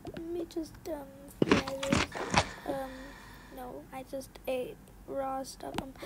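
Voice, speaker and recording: A woman voicing a put-upon little girl in a toy-game roleplay, hesitant and mildly whiny. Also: high-pitched. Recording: noisy home recording with clicks.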